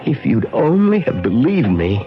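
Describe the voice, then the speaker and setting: An actor playing a beaten male schemer in a radio drama, halting and resigned. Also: medium pitch, big pitch swings. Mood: resigned, rueful. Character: beaten criminal schemer giving himself up to police. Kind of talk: 1970s radio drama acting. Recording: dull vintage radio recording, music.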